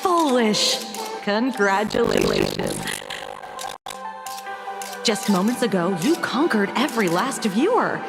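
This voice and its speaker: A smug show producer in a game drama. She is condescending and drawn-out, with animated intonation, long reverb and music behind her. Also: mid-range voice, gravelly, heavily acted. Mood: smug, coaxing.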